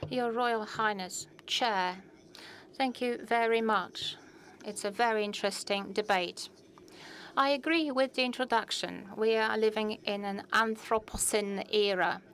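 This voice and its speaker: A woman interpreting a conference speech in a brisk, formal way. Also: medium pitch, fairly bright tone.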